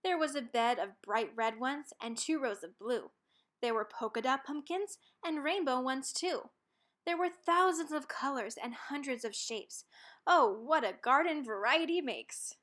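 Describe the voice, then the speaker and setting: A woman's voice: sits high, measured pace, a bit bright. A woman reading a rhyming children's picture book aloud, expressively and with dramatic emphasis on key words. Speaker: storybook reader.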